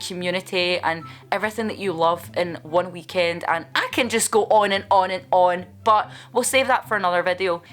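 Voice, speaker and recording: A woman's voice: fairly low pitch, a bit bright. A woman, vlogging in a chatty, candid way as she answers viewer questions, with playful asides. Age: 20s.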